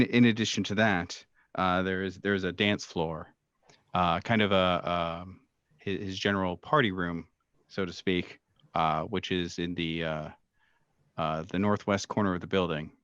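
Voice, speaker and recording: A man working as game master, improvising descriptive narration for a horror tabletop game in a halting, hesitant way. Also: sits low, fairly even intonation, clean tone, dry recording, highs cut off. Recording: music playing behind the voice. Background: soft background music.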